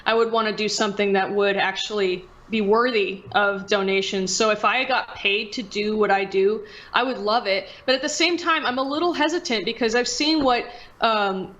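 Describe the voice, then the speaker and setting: A woman's voice: medium pitch, normal speed, a bit bright. Rambling woman, slightly dull lo-fi sound. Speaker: podcast guest, video creator and streamer. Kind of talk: casual chat.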